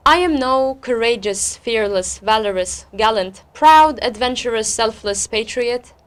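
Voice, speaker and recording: A woman with a fairly high voice, speaking as a poet and guest. She talks in an expressive, emphatic way, often repeating words to give them weight. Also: unhurried. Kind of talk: interview talk and spoken-word poetry recital.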